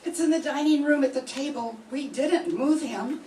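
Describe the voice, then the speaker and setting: Older lady doing an excitable dinner guest, highish voice, old radio mystery, echoey. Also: normal speed, lightly acted. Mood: subdued. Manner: exclamatory, theatrical. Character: chatty, excitable female dinner party guest. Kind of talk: 1940s radio mystery acting. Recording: echoey distant mic, clear background noise.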